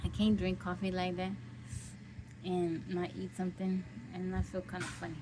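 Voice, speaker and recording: A woman's voice: on the low side, completely flat delivery, moderate pace, background noise. An American woman hosting a casual livestream, greeting viewers by name and chatting about being hungry and jittery. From United States. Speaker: livestream host. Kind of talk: casual live chat with viewers.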